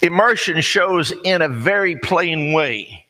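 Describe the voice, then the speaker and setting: An American man preaching a sermon in a folksy, conversational storytelling way, plain-spoken and pausing deliberately. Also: fairly high pitch, animated, sharp tone. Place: large reverberant room, preaching to a congregation. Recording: long hall reverb.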